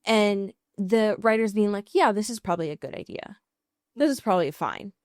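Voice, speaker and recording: A woman's voice: medium pitch, expressive, moderate pace, darkish tone, dry recording. Wry, informal woman podcaster, close dry sound. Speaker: podcast co-host. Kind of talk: casual TV recap chat.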